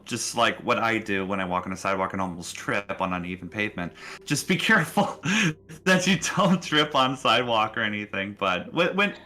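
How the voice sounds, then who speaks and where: A man narrating a tabletop game as game master, casual and halting, often rephrasing as he describes scenes. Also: lowish voice, pushed and bright.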